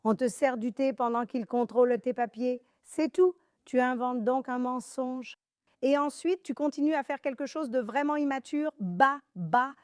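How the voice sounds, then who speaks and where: Woman from Iran, talk show host, emphatic and sarcastic, clear higher voice, dry studio. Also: normal speed, a little mellow, no echo. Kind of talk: panel talk show discussion.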